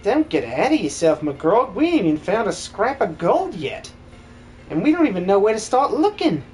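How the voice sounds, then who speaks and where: A man's voice: high-pitched, sing-song, normal speed, darkish tone, faint music behind. A man voicing a bossy, chirpy young working-class woman in a comic game dub. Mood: chirpy, scolding.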